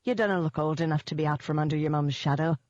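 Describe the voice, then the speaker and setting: Woman doing a low-voiced old Irish barman. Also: quick pace, darkish tone, dry recording, lo-fi sound, close to an everyday voice. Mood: teasing. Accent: Irish, put on. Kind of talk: audiobook character voice.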